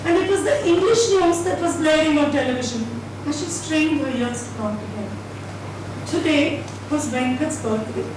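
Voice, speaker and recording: A woman giving an expressive, dramatised reading of a story, with theatrical pauses. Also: high voice. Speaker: reader at a dramatised book reading. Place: indoor event hall at a live reading. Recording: distant mic, echoey hall, noisy.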